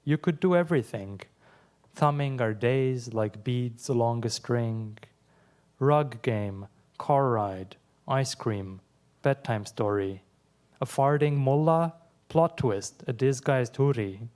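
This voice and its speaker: A young adult man from Iran, whose first language is Persian, reads his poems aloud in a measured way, with quiet asides between them. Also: mid-range voice. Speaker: poet. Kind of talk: live poetry reading.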